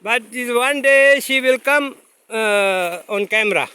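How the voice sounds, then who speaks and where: A man whose first language is Urdu, vlogging outdoors by a mountain stream in halting, simple English with many fillers, filmed on a phone without a separate mic. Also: extremely high pitch, bright, forceful tone, clean tone. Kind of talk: casual vlog narration. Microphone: phone camera, no separate mic.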